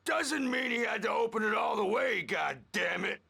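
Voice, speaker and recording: An actor playing a crazy, cranky old hard-rock man in a video game, gravelly and erratic, swinging between shouts and mutters. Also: high-pitched, moderate pace. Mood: accusing. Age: older. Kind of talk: video game character acting. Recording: noisy, ambient background sound.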